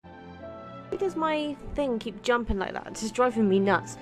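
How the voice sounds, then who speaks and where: A woman's voice: fairly high pitch, expressive, measured pace, music in the background. A woman doing a let's play, reading game dialogue aloud expressively and commenting casually. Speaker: gaming content creator.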